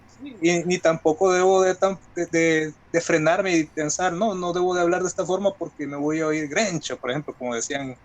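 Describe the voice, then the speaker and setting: A man with a Salvadoran accent talks as a writer being interviewed. His voice sits a little higher than average. He is colloquial and reflective, building his points from examples. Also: fairly quick. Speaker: guest writer. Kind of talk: conversational interview talk.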